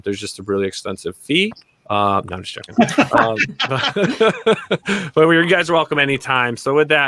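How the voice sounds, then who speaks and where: A man's voice: mid-range voice, bright, forceful tone. A man hosting a casual livestream interview, informal and effusively appreciative in the way he talks. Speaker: livestream show host.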